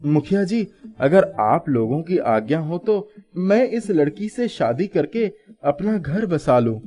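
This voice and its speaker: A man's voice: fairly high pitch, sing-song, slow, warm tone. A man playing a humble, kind young herdsman who speaks earnestly in a story. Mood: respectful, earnest.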